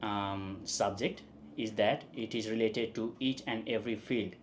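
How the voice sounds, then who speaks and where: A man from Nepal whose first language is Nepali, a statistics professor speaking as a podcast guest in a casual, halting way, with many fillers and tag questions. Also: on the low side, fairly even intonation, a little hiss or hum. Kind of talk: casual interview.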